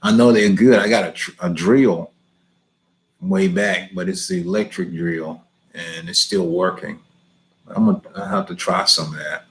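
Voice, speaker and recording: A man's voice: sits low, fairly even intonation, normal speed. A middle-aged man from Houston with a Southern Texas accent, casually answering viewers' lawn care questions, laughing often. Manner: easygoing, laughs often, reads questions aloud then answers. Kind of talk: casual live Q&A chat.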